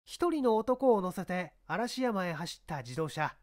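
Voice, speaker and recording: Shrewd, commanding detective inspector played by a male reader, raised and lively pitch, brisk authority, mystery audiobook. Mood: urgent, commanding. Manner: brisk, authoritative, giving orders. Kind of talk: dramatized mystery audiobook reading.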